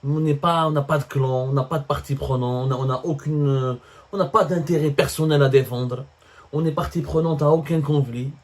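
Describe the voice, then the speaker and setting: Emphatic higher-pitched Algerian man, political commentary. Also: fairly even intonation, brisk, a little mellow. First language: French. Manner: emphatic, repeats phrases for stress. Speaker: host of a political commentary show. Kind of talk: opinionated political commentary addressed to viewers.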